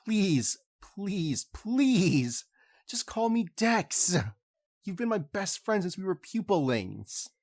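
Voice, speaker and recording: A man voicing a young alien prince who talks in a cheerful, casual, excitable way. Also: high voice, slow, dry recording, clean studio sound, heavily acted.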